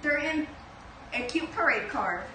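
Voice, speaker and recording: A woman's voice: sits high, expressive, a little slow, sharp tone, pitched above the performer's own voice, full character voice. A woman voicing a caring female Dalmatian mother from a storybook, exclaiming and calling out to puppies. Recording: roomy, noisy, distant camera microphone.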